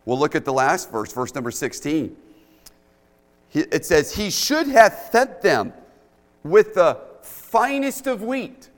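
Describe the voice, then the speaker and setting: A man's voice: fairly high pitch, big pitch swings, takes it slowly. A middle-aged man with a big, bold voice, preaching a sermon to a church congregation as a guest speaker in emphatic, repeated short phrases. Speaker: guest preacher, youth camp director. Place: indoors in a church, speaking into a microphone. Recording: reverberant church hall, long reverb.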